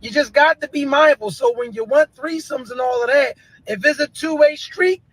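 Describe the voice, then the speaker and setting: A man's voice: very high voice, moderate pace, fairly bright tone, no room sound, low-quality recording. A man lecturing bluntly in a clip from social media.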